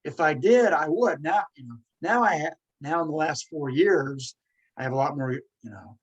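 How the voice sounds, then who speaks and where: A man's voice: on the high side, slightly rough, dull audio. A man in his forties who stutters, hosting a podcast and speaking in an earnest, emphatic casual monologue. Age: 40s. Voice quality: stutters with repeated sounds. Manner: earnest, emphatic, quick bursts. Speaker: podcast host.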